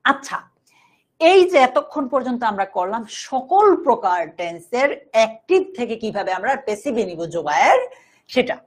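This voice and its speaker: A woman with a Bangladeshi accent teaching a live online grammar class, explaining step by step, slowly dictating examples and checking that students follow. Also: a little high, very animated. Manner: instructive, repeatedly checking understanding, slowly dictating example sentences.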